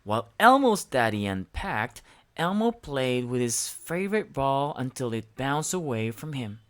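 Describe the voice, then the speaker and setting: Low-voiced, very animated woman storyteller. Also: measured pace. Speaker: read-aloud storyteller. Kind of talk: expressive children's storybook narration.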